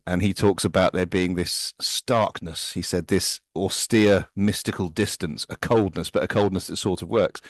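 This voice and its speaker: A man's voice: low voice, dry recording. A man co-hosting a casual music podcast, chatty and anecdotal, often stumbling over his words. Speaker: podcast co-host.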